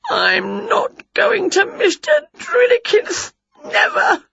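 Woman voicing a shrill, dramatic witch. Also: very animated, a little slow. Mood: wailing. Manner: shrill, theatrical, over-the-top. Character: excitable, dramatic female witch. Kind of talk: storybook character voice. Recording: dull, lo-fi dry recording.

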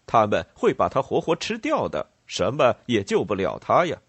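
A man's voice: medium pitch, huge pitch swings, normal speed, breathy edge, no echo, heavily acted. A man voicing an honourable young nobleman in a story, speaking firmly and earnestly, with steadfast pleading. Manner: firm, earnest.

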